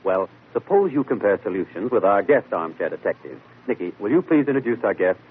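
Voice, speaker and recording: A man acting a keen, thoughtful amateur detective in a 1940s radio mystery, speaking in a measured, deliberate way. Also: on the low side, very animated. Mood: genial. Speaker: detective lead who also hosts the show. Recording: tinny, noisy old radio broadcast.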